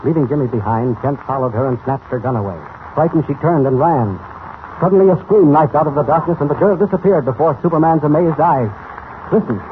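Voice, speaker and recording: A man's voice: on the high side, a little fast, slight background noise, close to an everyday voice. A man narrating a 1940s radio serial in a formal, suspenseful, dramatic style, on a tinny old recording. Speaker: announcer, narrator.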